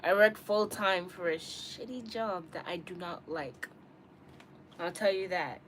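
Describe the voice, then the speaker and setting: A woman's voice: on the low side, a little slow. A woman in her early twenties chatting casually to live-stream viewers in a candid, slangy, rambling way. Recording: clearly noisy room ambience.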